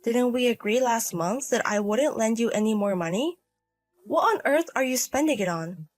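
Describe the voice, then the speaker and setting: A woman's voice: fairly high pitch, animated, normal speed, faint music behind, close to an everyday voice. A woman voicing a stern older mother and grandmother in a story drama, scolding and firm. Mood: concerned, questioning. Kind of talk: acted dialogue in a narrated drama story.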